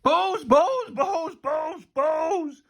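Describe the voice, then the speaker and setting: A woman's voice: mid-range voice, lively intonation, moderate pace, creaky, husky, pitched above the performer's own voice. A woman voicing a manic, thundering male schoolteacher in a storybook, spluttering and repeating in exasperation. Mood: dazed, frantic. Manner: bellowing, repetitive, spluttering.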